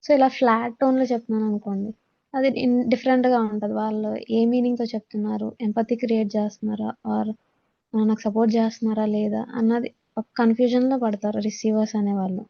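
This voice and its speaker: A woman's voice: fairly high pitch, level tone, quick pace, gravelly. A woman with a Telugu accent giving a lesson as an instructor, explaining points from slides in a dull, lo-fi recording. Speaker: instructor teaching communication skills. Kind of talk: slide-based lesson, reading points aloud then explaining.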